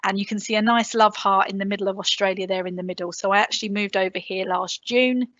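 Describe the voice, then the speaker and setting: A woman with a London accent gives a conference presentation over a video call. She is chatty and loose, fills pauses with "um"s, and throws in small self-deprecating asides. The audio is slightly dull and dry, like a laptop microphone. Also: medium pitch, fairly even intonation, a bit bright, dry recording. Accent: London English. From England. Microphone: computer mic on a video call.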